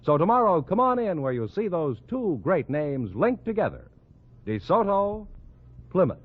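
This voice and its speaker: A man's voice: sits high, big pitch swings, normal speed, faint music behind. A man with an American accent announcing a 1950s radio commercial in a polished, promotional manner. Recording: muffled, phone-like old radio recording.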